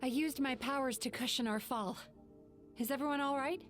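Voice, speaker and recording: Casting: actress as resolute young dream-eater, highish voice, earnest and measured, anime game delivery, music beneath. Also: female voice, natural voice. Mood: grave, explaining. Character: composed, resolute young female dream-eating guardian. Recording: music behind the voice.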